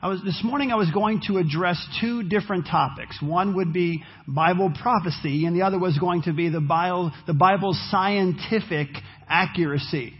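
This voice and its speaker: A man's voice: sits high, moderate pace, slightly rough, lo-fi sound. A man preaching a sermon as pastor, losing his voice, talking earnestly and restarting his phrases.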